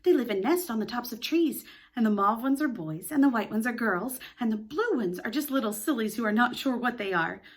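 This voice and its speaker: A gentle, motherly young girl from a storybook, as a woman reader puts her on. She speaks high and soft, earnest and tender. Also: animated, a little fast. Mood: explaining.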